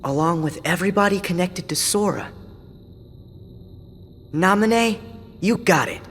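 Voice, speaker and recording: A man's voice: high-pitched, unhurried, fairly bright tone, slight background noise. A man voicing an earnest, curious teenage boy hero in a video game cutscene. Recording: game audio with long reverb and music.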